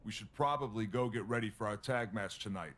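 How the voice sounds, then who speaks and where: A man playing a wary, deferential pro wrestler and uneasy ally in a video game cutscene, speaking in a measured, guarded way. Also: lowish voice, fairly bright tone.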